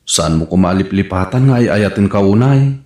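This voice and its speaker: A man plays a lovestruck suitor in a radio drama, tender and pleading. His voice is fairly low and echoes in a roomy space. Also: expressive, moderate pace, dark tone. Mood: earnest, pleading. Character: earnest, patient lovestruck male suitor. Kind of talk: romantic radio drama acting. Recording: roomy, echoing sound.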